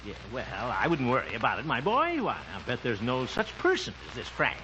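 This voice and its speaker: An actor playing a jovial, self-satisfied small-town bachelor in an old-time radio comedy, with a folksy, chuckling, self-assured manner. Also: male voice, medium pitch, wildly swooping pitch, fairly quick, bright, forceful tone. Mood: breezy, self-assured. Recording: muffled, noisy old-time radio recording.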